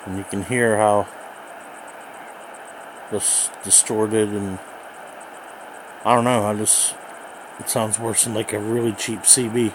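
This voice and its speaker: A man giving a casual, unscripted review in a halting, hesitant manner, recorded at home. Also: on the low side, level tone. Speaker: amateur radio reviewer. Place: indoors at home. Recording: clear background noise, home recording.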